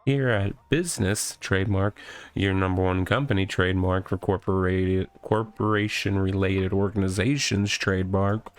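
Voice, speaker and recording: A man voicing a rambling, oddly proud corporate mascot stuck in a cardboard box, halting and earnest, in a comedic visual novel read-aloud. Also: deep voice, steady tone, smooth, natural voice. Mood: confiding, proud. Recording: music behind the voice.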